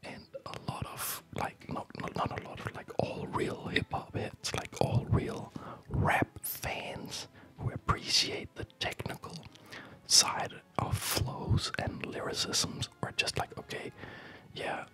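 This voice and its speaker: A man speaking in a breathy ASMR whisper, explaining things casually in a halting, digressive way. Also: pushed and bright, rough recording. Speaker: ASMR video host.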